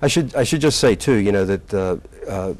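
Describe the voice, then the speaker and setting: A man in his sixties, a college president, recalls his career in a relaxed interview and talks haltingly, with many fillers and restarts. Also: on the low side. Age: 60s. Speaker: community college president, guest.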